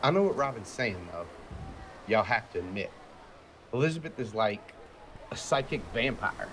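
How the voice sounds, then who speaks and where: A man's voice: fairly low pitch, lively intonation, normal speed, background noise, natural voice. An actor playing a frank, supportive man in a self-help group, casual and sincere in a naturalistic audio drama. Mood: gossipy. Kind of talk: naturalistic audio fiction acting.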